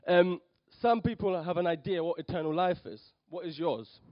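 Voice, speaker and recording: A man's voice: high voice, moderate pace, no room sound, lo-fi sound. A young man from Poland, brought up in London, giving a hesitant sermon in church with many ums and restarts. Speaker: lay preacher. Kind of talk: nervous church talk.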